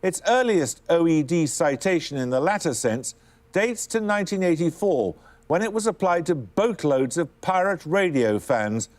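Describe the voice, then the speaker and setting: Formal quiz host guy, high-ish lively voice, crisp dry delivery. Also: moderate pace.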